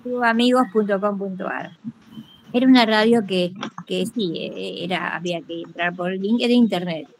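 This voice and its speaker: A woman with an Argentine accent giving a casual personal account in a group call, modest and halting, with many filler words. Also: mid-range voice, slight background noise, poor audio. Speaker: workshop participant, teacher.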